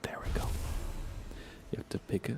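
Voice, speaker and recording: A man giving murmured ASMR commentary while livestreaming a video game, often repeating his phrases in playful mock grumbling. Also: moderate pace. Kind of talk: whispered ASMR gaming commentary.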